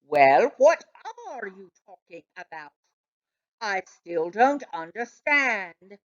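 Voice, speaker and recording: A woman reading a children's storybook as an indulgent, skeptical male dog who questions and gently chides. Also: mid-range voice, big pitch swings, slow, highs cut off. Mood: questioning. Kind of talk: children's storybook read aloud.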